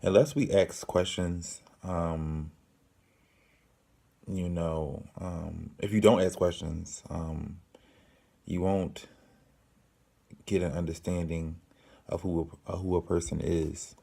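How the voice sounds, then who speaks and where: A man in his late twenties hosting his own channel, answering viewer questions in a slow, earnest way with many ums and pauses. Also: deep voice, steady tone, creaky, warm tone. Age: 20s. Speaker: channel host. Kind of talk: casual Q and A to camera.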